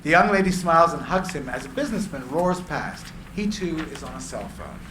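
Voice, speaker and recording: A man reading screenplay stage directions as narrator, with deliberate descriptive phrasing. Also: fairly high pitch, expressive. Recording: echoey room, clear background noise.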